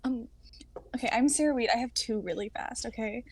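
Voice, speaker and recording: A young woman in her twenties with a high-pitched voice. She talks casually, as in relaxed group banter on a livestream. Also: brisk, slight background noise. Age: 20s. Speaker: livestream panelist.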